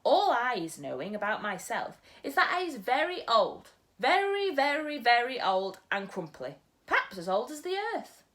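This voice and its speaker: A woman reading aloud, voicing a huge kindly old male giant who mangles his grammar and booms his exclamations. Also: big pitch swings, moderate pace, few pauses, fairly bright tone. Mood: earnest.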